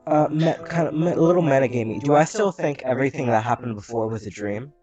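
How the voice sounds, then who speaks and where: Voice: medium pitch, quick pace, muffled recording, music in the background. A player roleplaying a confused character, stammering and hesitant. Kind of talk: roleplaying a tabletop game.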